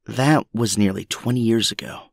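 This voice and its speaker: A man's voice: fairly low pitch, moderate pace, vocal fry, no room sound, studio quality. A man playing an older, weary but principled resistance captain in an audio drama, grave and commanding.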